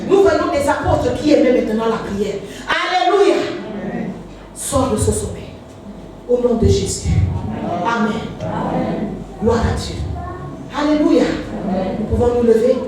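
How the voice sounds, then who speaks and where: A woman's voice: high voice, animated, takes it slowly, long pauses, noisy background. A woman in her fifties, a pastor preaching a fervent sermon with frequent hallelujahs and sing-song rises. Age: 50s. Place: church. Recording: echoey church hall, voice from far off.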